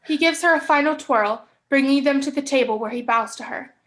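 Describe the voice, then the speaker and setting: High-voiced young woman narrating. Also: a little fast, a bit bright. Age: young adult. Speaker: stage directions reader. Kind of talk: scripted narration.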